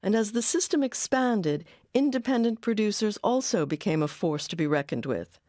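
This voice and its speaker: A woman with an American accent giving scripted documentary narration in a measured, articulate way. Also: mid-range voice, lively intonation, warm tone, no room sound, clean studio sound.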